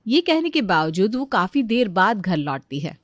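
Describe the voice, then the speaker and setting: Woman narrator reading a scripted story, mid pitch, no room sound at all. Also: very animated, normal speed, dry recording.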